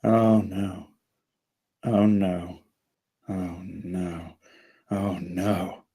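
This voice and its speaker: A man's voice: deep voice, level tone, very slow, warm tone, husky, heavily acted. A man playing a meek, hesitant male spaceship crewman in a comedy table read. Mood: dismayed. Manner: hesitant, unsure.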